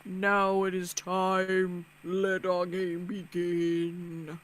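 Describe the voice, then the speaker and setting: A woman voicing an ominous game master in a visual novel, speaking slowly, solemnly and formally. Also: high-pitched, monotone, a bit bright, full character voice. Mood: grave, menacing. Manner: slow, solemn, deliberate.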